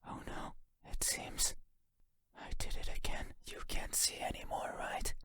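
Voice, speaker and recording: An unsettling creature of the night from a horror game, wounded and menacing. The voice is a breathy whisper with almost no tone in it. Each phrase comes very slowly and deliberately, with obsessive intensity. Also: male voice, bright, forceful tone. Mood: eerily tender.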